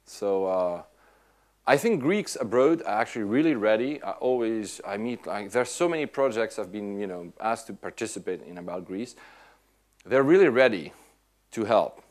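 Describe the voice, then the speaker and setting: A Swiss-born man answering audience questions in casual, animated talk, often restarting his sentences. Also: fairly low pitch, normal speed. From Switzerland. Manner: animated, many restarts and repeats. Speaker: tech speaker answering questions. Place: lecture room at an institute.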